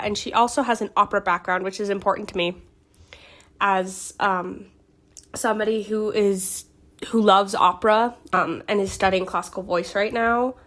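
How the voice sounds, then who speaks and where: Female solo host, slightly high voice, recovering from a cold; casual, earnest, chatty delivery. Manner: chatty, earnest, reads facts with small fillers and restarts. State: getting over a cold. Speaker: YouTube host. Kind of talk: casual vlog narration of film history.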